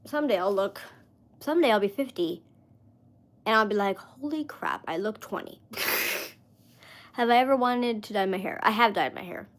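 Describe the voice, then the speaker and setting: A woman's voice: medium pitch, lively intonation, moderate pace, pauses often. A woman in her mid-thirties chatting casually on a live stream, rambling with lots of fillers as she talks to viewers. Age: 30s.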